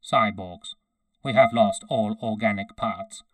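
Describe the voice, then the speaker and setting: A man's voice: on the low side, level tone, a little slow, dark tone, no echo, close to an everyday voice. Man voicing a toneless metallic cyborg soldier. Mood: toneless, obedient. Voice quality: metallic, synthesized. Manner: toneless, clipped, matter-of-fact. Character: obedient, emotionless male cyborg centurion soldier.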